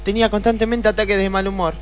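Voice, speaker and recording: A woman's voice: medium pitch, flat delivery, quick pace, faint music behind, lightly acted. A woman acting a wealthy, melodramatic widow in a comic radio play, prim and plaintive, with an Argentine accent.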